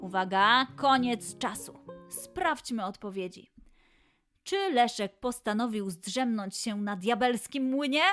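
A woman hosts a children's quiz in a fairly high voice at a slow pace. She presents from a script, addressing young listeners directly, with clear music behind her. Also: lively intonation, a bit bright. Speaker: quiz show host.

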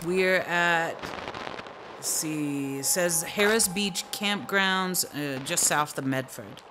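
An actress playing a wary, guarded, tough courier in a radio drama, with a dry, sardonic way of talking. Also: female voice, lowish voice, takes it slowly, background noise. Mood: edgy, impatient.

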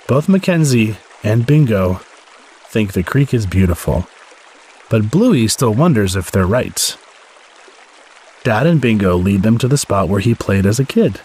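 Male narrator for children's read-aloud stories, lowish pitch, very lively intonation, a little husky. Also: measured pace. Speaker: story podcast host and reader.

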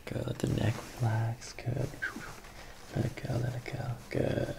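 A man's voice: mid-range voice, monotone, measured pace, gravelly, heavy background noise. A middle-aged man working as a chiropractor, giving soothing, instructive directions to a patient during a hands-on exam. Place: small carpeted treatment office.

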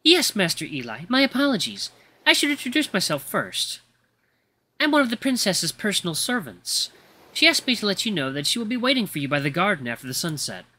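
A performer voicing a tall, stern female servant in a story, formal, measured and commanding. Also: mid-range voice, big pitch swings, bright, forceful tone. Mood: polite, composed.

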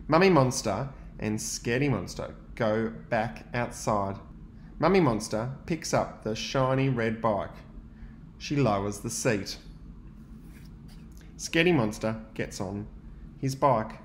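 A young adult male teacher, reading a picture book aloud to young students and pausing to ask them questions. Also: mid-range voice, roomy sound, slight background noise. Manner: gentle, expressive storytelling with pauses to ask questions.

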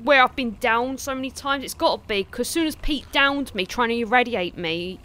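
A woman's voice: a little high, brisk, bright, forceful tone. A woman playing a co-op video game and chatting casually with her teammates. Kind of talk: casual gaming chat.

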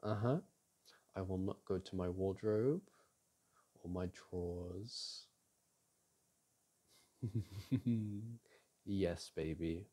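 A young man in an intimate roleplay as a boyfriend, talking softly and teasingly to his partner, often sighing and chuckling. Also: low-pitched, vocal fry, slightly rough. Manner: soft and teasing, with frequent sighs and chuckles. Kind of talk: intimate ASMR roleplay, speaking to an unseen partner. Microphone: close microphone.

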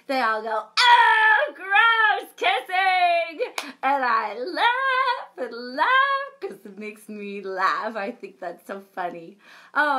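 A woman in her thirties, a children's librarian, reading a picture book and leading a sing-along for young children in a lively storytime manner. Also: medium pitch. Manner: bright, animated storytime voice. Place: home room with a bookshelf backdrop.

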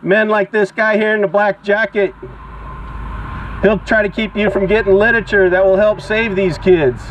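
A man's voice: sits high, fairly even intonation, moderate pace, a bit bright. A man doing open-air protest preaching through a megaphone, exhorting and declamatory like a street preacher. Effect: megaphone amplification. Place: outdoors on grass beside a sidewalk and parking lot. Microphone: action camera beside the megaphone. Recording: dull outdoor recording with traffic noise.

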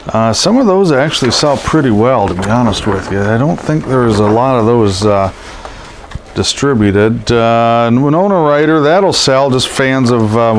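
A middle-aged man with a head cold, sounding scratchy and stuffed up, rambling casually with many ums and uhs. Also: medium pitch. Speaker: collectibles seller. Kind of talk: casual show-and-tell narration. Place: indoors over boxes of posters.

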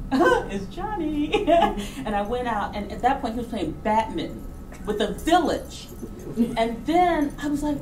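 A middle-aged woman telling anecdotes casually on stage into a handheld microphone, animated and informal, acting out reactions. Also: fairly high pitch. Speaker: documentary filmmaker, guest speaker. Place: auditorium stage before an audience. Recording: roomy, distant hall sound with background noise.

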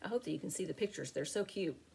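Middle-aged female teacher for picture book read-aloud: mellow, slightly husky, sing-song delivery. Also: lowish voice, animated, quick pace, rough recording. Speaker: teacher reading aloud to children. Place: small home room with window blinds.

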